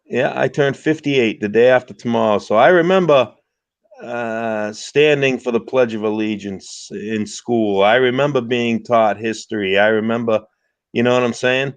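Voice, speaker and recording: A man's voice: medium pitch, moderate pace, dry recording. A man in his fifties from the United States, calling into a livestream for casual political chat, blunt and repeating himself as he stammers into his points. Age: 50s. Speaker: caller on a livestream.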